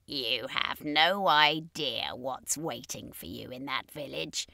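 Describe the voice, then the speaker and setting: A woman's voice: extremely low pitch, expressive, slow pace, vocal fry, sharp tone, no echo, studio quality, voice pushed down, full character voice. A woman reading a storybook, voicing a defiant male alpha vampire.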